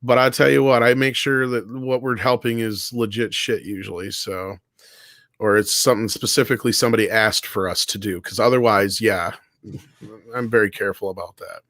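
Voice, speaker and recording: A man's voice: fairly low pitch, steady tone, moderate pace, fairly bright tone, no room sound, clean studio sound. A man co-hosting an online show in casual, opinionated chat, speaking bluntly and emphatically with plenty of swearing.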